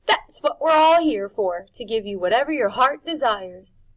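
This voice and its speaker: A woman's voice: fairly high pitch, lively intonation, normal speed, voice pushed up, close to an everyday voice, poor audio. A woman reading a storybook aloud, voicing a sly, oily man who hides his spite behind a wheedling charm. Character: grinning, oily, menacing male house-keeper.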